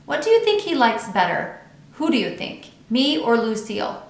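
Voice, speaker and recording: A woman voicing an excitable, defiant young schoolgirl in a children's storybook, with an eager manner. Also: a little high, moderate pace, pitched above the performer's own voice. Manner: squealing, hollering, eager. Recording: echoey untreated room.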